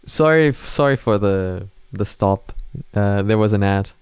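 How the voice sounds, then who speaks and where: A man's voice: on the low side, expressive, measured pace, a little mellow. A man giving casual, off-the-cuff reaction commentary, rambling and hesitant as he thinks aloud. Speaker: host of a reaction video.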